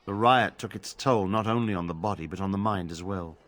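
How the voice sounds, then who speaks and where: An actor playing a grave, pious templar in a fantasy video game, speaking formally and solemnly. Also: male voice, sits low, a little fast, a bit bright. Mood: grave, hopeful. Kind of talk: video game drama acting.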